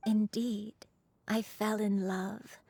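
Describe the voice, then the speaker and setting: An actress playing a sorrowful old crane woman in human form, telling her past in a measured, wistful, solemn way as video game dialogue. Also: mid-range voice, little pitch movement, vocal fry, mellow, husky, no echo, full character voice. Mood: tender. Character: sorrowful aged crane woman, once an adeptus disciple, confessing. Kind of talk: scripted video game dialogue, confessional narration. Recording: game dialogue over music.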